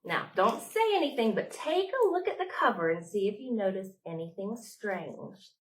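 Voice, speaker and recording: A woman's voice: mid-range voice, animated, normal speed, recorded from a distance. A woman hosting storytime as a children's librarian, reading aloud and talking to small children in simple short phrases.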